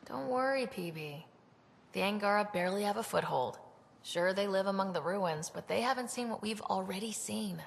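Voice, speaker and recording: A woman playing a calm, decisive young spaceship commander in a video game, speaking in a composed, direct way. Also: medium pitch, normal speed, creaky, slightly rough, big-room sound. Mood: amused, reassuring. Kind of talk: video game cutscene acting.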